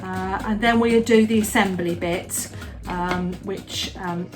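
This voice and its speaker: A woman's voice: mid-range voice, fairly even intonation, takes it slowly, faint music behind. A middle-aged woman chatting casually while she bakes, rambling with many restarts and "you know"s. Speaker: home baker, the host's mother. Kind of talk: casual chat while baking.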